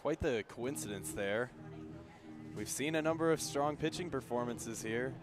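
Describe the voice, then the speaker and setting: A man's voice: medium pitch, normal speed, music in the background. An American man giving conversational color commentary on a live baseball radio broadcast at a ballpark. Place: outdoors at a ballpark.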